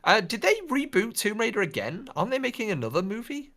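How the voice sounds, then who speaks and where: A man's voice: high-pitched, big pitch swings, quick pace, pushed and bright. Jokey, opinionated man in casual podcast chat. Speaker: podcast co-host. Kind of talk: casual film and gaming chat.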